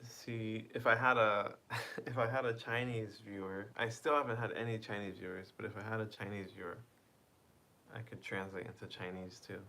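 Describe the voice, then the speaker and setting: Slow-talking man chatting with his stream. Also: lowish voice, fairly even intonation, gravelly. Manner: reads chat aloud, self-translates between English and Spanish; laughs softly between lines. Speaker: livestream host. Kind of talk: casual chat with viewers.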